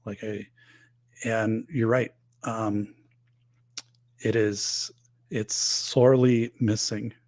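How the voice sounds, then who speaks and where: A man's voice: lowish voice, level tone, extremely slow, pauses often, a little mellow, no room sound. A middle-aged man hosting a live show, chatting casually and rambling with many fillers and restarts, losing his voice. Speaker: livestream host reading viewer comments.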